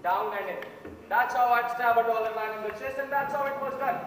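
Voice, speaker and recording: A man's voice: very high-pitched, brisk. Man acting blustering Indian juror, distant echoey stage. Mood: impatient. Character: blustering, impatient, argumentative juror. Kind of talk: amateur stage drama acting. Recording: echoey hall, distant mic, noisy.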